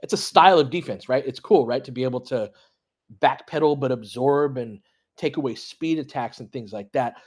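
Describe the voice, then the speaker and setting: A man with a mid-pitched, somewhat husky voice hosts a live basketball stream. He talks casually and analytically, moving between calling the action and chatting informally with his listeners. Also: normal speed, darkish tone. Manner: conversational, analytical, informal with mild swearing. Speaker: host of a fan audio play-by-play stream.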